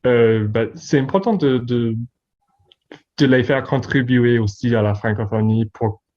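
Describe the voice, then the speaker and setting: A man's voice: mid-range voice, unhurried. Hesitant man with a slightly dull sound. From Newfoundland and Labrador, Canada. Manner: hesitant, repeats words, laughs easily. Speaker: newspaper director. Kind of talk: casual conversation.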